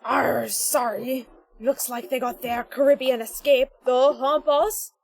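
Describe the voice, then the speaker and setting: A woman's voice: sits high, fairly even intonation, slow. A woman playing a sheepish, bumbling pirate underling in a comic manner, with faint noise behind.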